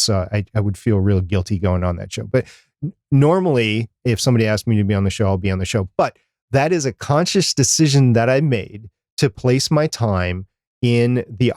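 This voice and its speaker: A man's voice: lowish voice, darkish tone, dry recording, clean studio sound. A man co-hosting a podcast in casual, chatty conversation, repeating phrases for emphasis. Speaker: podcast co-host. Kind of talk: casual chat about podcasting.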